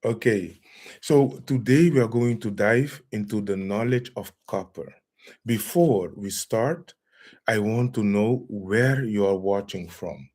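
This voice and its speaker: A man's voice: on the low side, slow, a little mellow. A man hosting a live-streamed lecture, greeting viewers by name and asking where they are watching from. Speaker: livestream host.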